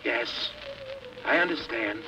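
An actor playing a haunted, desperate rocket pilot with urgent intensity in 1950s radio drama style. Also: male voice, medium pitch, lively intonation, takes it slowly. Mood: urgent, pleading. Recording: noisy vintage 1950s radio recording.

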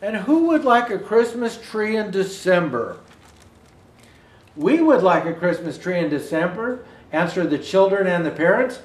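An older man reading a children's picture book aloud as a storyteller, with expressive, deliberate phrasing and the odd stumble. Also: sits high, distant mic. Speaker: Santa Claus storyteller. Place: indoor room with Christmas decor. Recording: roomy indoor echo.

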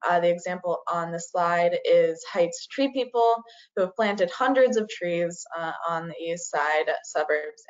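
A woman giving an online presentation, starting many phrases with "uh" and "um". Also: lowish voice. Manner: frequent "uh" and "um" fillers. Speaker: community forestry presenter. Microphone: video call. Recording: dull lo-fi video call audio.

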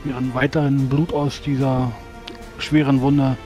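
A man's voice: mid-range voice, level tone, measured pace, mellow, soft background music. A man playing a tabletop game, narrating combat descriptively. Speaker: role-playing game player.

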